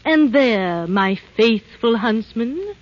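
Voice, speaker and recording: A woman's voice: on the high side, lively intonation, takes it slowly, dry recording. A cold, menacing evil queen. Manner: commanding, menacing. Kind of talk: 1930s animated film acting. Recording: dull vintage film soundtrack.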